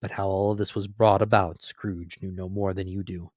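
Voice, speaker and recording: A man's voice: low-pitched, flat delivery, normal speed, a little mellow, no room sound, clean studio sound. A man narrating a classic story as a dramatic reading.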